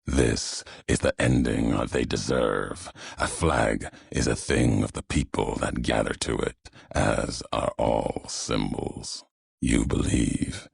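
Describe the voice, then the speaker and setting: Casting: brooding, fatalistic game courier, heavy fry and husky grain, grave, cryptic, unhurried menace. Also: male voice, no echo, studio quality, heavily acted. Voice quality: deep, gravelly, raspy. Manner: slow, solemn, cryptic, clipped phrases. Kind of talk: video game character dialogue.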